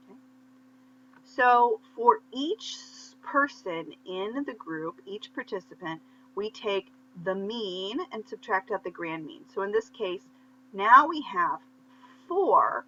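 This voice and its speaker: Female instructor, crisp forward tone, patient stepwise lesson delivery, dull recording with faint background hum. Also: mid-range voice, sharp tone. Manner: step-by-step explaining with check-in questions. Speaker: statistics lecturer. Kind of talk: conversational instructional explanation of slides. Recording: muffled lo-fi audio with faint hum.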